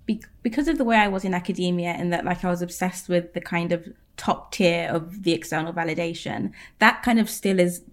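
A woman in her thirties with a slightly low voice talks as a podcast guest. She speaks candidly, thinking aloud as she goes, with plenty of ums and restarts. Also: fairly quick, hardly any pauses. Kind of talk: casual interview chat.

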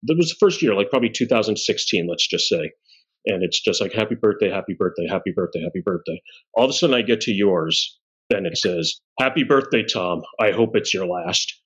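A man's voice: fairly low pitch, animated, fairly quick, fairly bright tone, husky. A man in his forties bantering casually in a self-deprecating, joking way as a podcast host. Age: 40s. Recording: dull, lo-fi audio.

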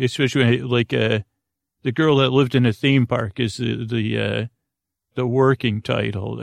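Man, gravelly creak, mid pitch, halting and meandering, self-deprecating podcast host, casual monologue, dry recording. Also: no echo. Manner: meandering, halting, trailing off into tangents; self-deprecating, goofy, gently humorous.

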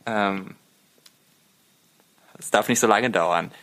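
A man's voice: lowish voice, takes it slowly, fairly bright tone, rough recording. A man chatting casually and jokingly as a podcast guest, cheeky and slipping English phrases in. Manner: cheeky, drops English phrases into his talk.

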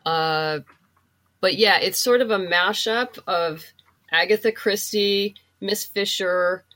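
A woman talking casually as an interview guest, chatty and a little halting as she gathers her thoughts. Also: medium pitch, bright, forceful tone. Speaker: guest, comic book writer and novelist. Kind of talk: casual interview chat, giving reading recommendations.

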